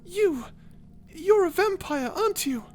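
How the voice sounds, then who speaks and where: Squeaky, feisty little mouse. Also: female voice, measured pace, soft background music. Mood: alarmed, indignant. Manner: excitable, indignant, proper, stammering. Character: cute, feisty, earnest little mouse companion. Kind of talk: character audio roleplay.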